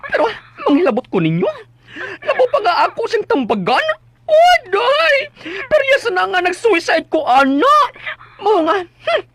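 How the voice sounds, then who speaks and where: A Filipino-accented actress in a radio drama playing a loud, sassy, excitable office worker, full of theatrical wails and teasing. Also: female voice, very high voice, normal speed, exaggerated voice. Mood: apologetic, teasing. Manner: exclamatory, theatrical wailing and teasing.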